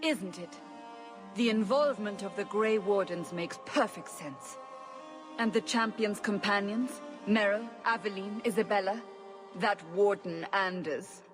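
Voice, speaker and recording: A woman's voice: mid-range voice, a little slow, close to an everyday voice. A woman playing a stern, formal interrogator in a fantasy game, insistent in her way, with music behind. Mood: probing. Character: stern, probing female interrogator. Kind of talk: cinematic fantasy game dialogue.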